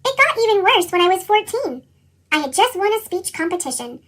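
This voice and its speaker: A reader with a squeaky, pitched-up chipmunk effect, narrating a children's picture book and acting out the dialogue expressively. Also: brisk, exaggerated voice. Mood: earnest, determined. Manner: expressive storytelling, acts out dialogue.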